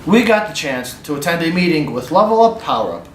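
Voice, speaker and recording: Echoey, higher-pitched male reporter. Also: sing-song, a little fast, a bit bright. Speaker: student newsreel reporter. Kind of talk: scripted voice-over narration. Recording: echoey room, faint ambient noise.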